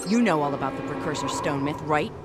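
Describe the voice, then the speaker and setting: A woman's voice: low voice, lively intonation, quick pace, over music. A woman playing a stern, suspicious military commander in a video game, clipped and commanding. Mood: probing.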